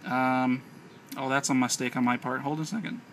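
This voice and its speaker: A man's voice: medium pitch, steady tone, fairly quick. An American man narrating an unscripted hands-on tech tutorial step by step, recorded on a handheld camera. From United States. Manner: explanatory, step-by-step instruction. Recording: noisy amateur handheld camera audio.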